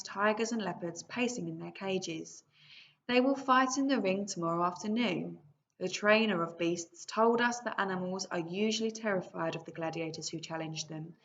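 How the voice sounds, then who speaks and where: A woman teacher reading a book aloud to her pupils in a video lesson. She reads slowly and clearly and stops now and then to ask the class questions. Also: medium pitch, fairly bright tone, lo-fi sound, a little hiss or hum.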